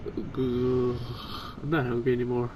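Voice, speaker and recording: A man's voice: mid-range voice, flat delivery, unhurried, lo-fi sound, noisy background. A man reading a story aloud in an amateur style, with casual asides. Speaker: co-reader of fan fiction.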